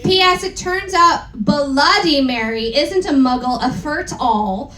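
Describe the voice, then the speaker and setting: Casting: female stage reader, high voice, unhurried theatrical comic delivery with asides, roomy acoustic. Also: sharp tone, slight background noise. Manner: theatrical, mock-serious with asides. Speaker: performer giving a dramatic reading. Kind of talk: comic live dramatic reading. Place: live event room with audience. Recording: roomy live stage sound.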